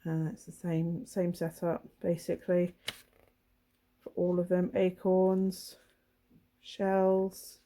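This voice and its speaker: A woman with laryngitis, her voice hoarse, husky and throaty, chatting casually and apologetically as she flips through a tarot deck for her channel. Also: fairly low pitch, monotone, takes it slowly, long pauses, mellow.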